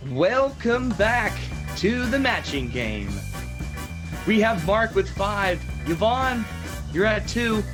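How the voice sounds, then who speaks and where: A woman playing a chatty, reassuring game show host in an improvised parody, stretching words for suspense like an announcer. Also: deep voice.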